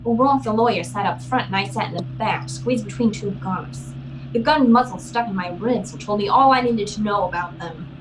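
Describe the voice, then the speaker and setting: A woman's voice: mid-range voice, noisy background, lightly acted. A woman playing a hardboiled, unflappable private eye in an old-time radio noir drama, with a tough, businesslike delivery. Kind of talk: old-time radio noir acting and first-person narration.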